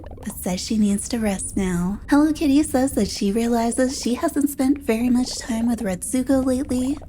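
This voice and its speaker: A woman's voice: a little high, warm tone, slight background noise. A woman narrating a scripted video game walkthrough as the host of a guide.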